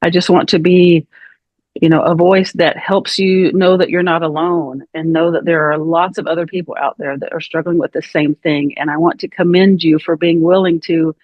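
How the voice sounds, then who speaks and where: A woman hosting a podcast who speaks in an earnest, reassuring and measured way. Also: lowish voice, steady tone, no echo. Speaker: podcast host. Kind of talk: supportive interview talk. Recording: dry, dull lo-fi sound.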